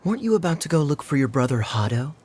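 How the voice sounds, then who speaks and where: A man's voice: on the high side, very animated, fast, a little mellow. A man playing a firm, authoritative man who keeps younger people in line, in a video game. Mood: stern.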